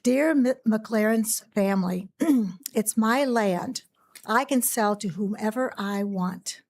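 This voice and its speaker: Raspy woman reading a letter. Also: mid-range voice, slow, darkish tone. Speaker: public commenter. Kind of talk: reading a prepared letter aloud. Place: county commission meeting room.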